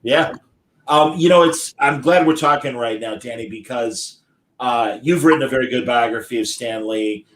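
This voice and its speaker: A man hosting a live online interview over a video call, enthusiastic and quick to praise. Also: medium pitch. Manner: enthusiastic, effusive. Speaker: host. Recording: roomy echo.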